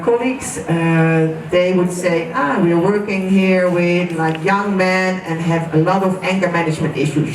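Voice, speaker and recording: A Dutch-accented woman in her late forties, a relationship and family counselor, introducing herself in a rambling way with many fillers and frequent laughter. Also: lowish voice. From Netherlands. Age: late 40s. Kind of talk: self-introduction at a gathering. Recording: echoey room from a distance with noise.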